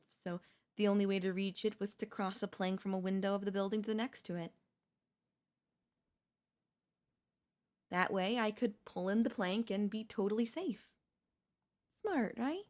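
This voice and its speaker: A woman's voice: medium pitch, a bit bright, lightly acted. A woman voicing a chatty female zombie who cheerfully and conversationally coaxes in a comedic audio roleplay. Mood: wry storytelling. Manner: conversational, coaxing, wheedling. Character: chatty, cheerfully persuasive female zombie craving human flesh.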